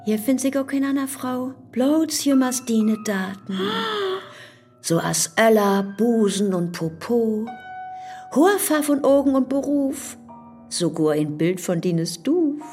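A woman playing a brash, nosy, sharp-tongued girlfriend in a radio play, speaking rhymed northern Low German dialect.

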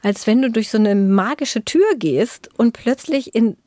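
A woman's voice: on the high side, a little slow, mellow, no echo. A woman hosting a podcast, casually recounting a visit in conversational narration. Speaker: podcast host. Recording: noticeable noise with clicks.

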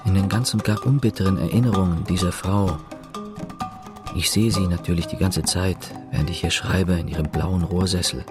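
An actor playing a reflective narrator writing his account, measured and ironic, in a German literary radio play. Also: deep voice, music in the background, close to an everyday voice. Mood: wry, reflective.

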